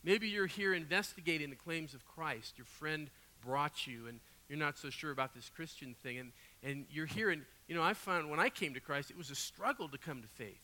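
A man preaching as a guest pastor, his voice raspy from a cold, telling stories in an animated, conversational way. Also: fairly high pitch, moderate pace, hardly any pauses. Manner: animated, conversational storytelling with asides. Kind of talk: sermon. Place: church sanctuary. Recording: long hall reverb.

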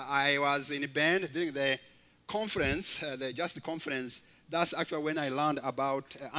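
A Rwandan man in his fifties preaches a personal testimony to a church. His voice sits a little high for a man, and he speaks haltingly, pausing on fillers and slipping in gentle jokes. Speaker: guest preacher. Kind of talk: sermon testimony.

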